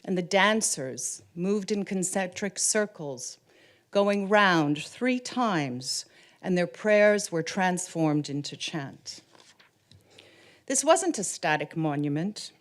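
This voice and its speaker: A woman from Canada whose first language is Ukrainian, giving a formal conference presentation as an artist in a measured, solemn way that slows down on key words. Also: on the low side, animated. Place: conference room.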